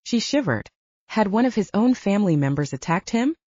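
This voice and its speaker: A woman narrating an audiobook in an expressive storytelling way. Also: mid-range voice, a little fast, no echo. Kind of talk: scripted narration. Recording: dull lo-fi dry sound.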